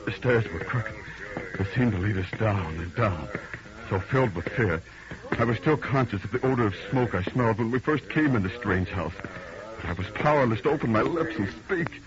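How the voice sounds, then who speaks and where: An actor playing a horrified, powerless witness narrating in an old-time radio horror drama, halting and dramatic. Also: male voice, on the low side, lively intonation, natural voice. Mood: haunted dread. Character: horrified, powerless male witness recounting terror. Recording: muffled old radio recording.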